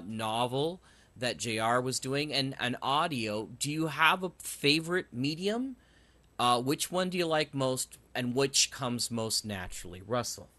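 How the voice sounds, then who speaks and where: A man's voice: mid-range voice, bright, forceful tone. A man hosting a casual interview, putting questions to his guests. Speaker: host, interviewer.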